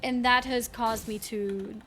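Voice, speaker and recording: A woman's voice: on the high side, unhurried. Swedish streamer woman, American-leaning English. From Sweden. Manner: relaxed, rambling, thinks aloud. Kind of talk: casual chat with viewers while gaming. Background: game music.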